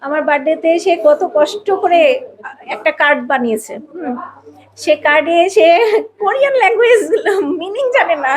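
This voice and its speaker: A woman's voice: very high-pitched, animated, moderate pace. A woman talking casually and emphatically as a podcast guest, repeating phrases to make her point. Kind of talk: casual personal conversation.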